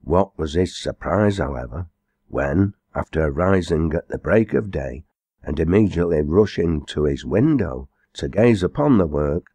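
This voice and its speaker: A man narrates a folk tale from a book in a low-pitched voice. His delivery is measured and formal. The recording is dry, with very little room sound. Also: animated, mellow, husky, no room sound. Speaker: audiobook reader. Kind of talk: scripted storybook narration.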